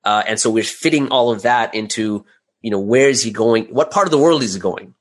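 Male interview guest, lower register. Talks through his creative process conversationally, point by point, asking himself questions. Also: brisk. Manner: explains step by step, often posing rhetorical questions.

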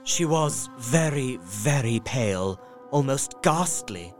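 A man's voice: mid-range voice, animated, takes it slowly. A man playing an anxious young Victorian husband, earnestly narrating his journal. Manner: earnest, worried, emotional. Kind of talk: radio drama journal narration. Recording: faint music behind.

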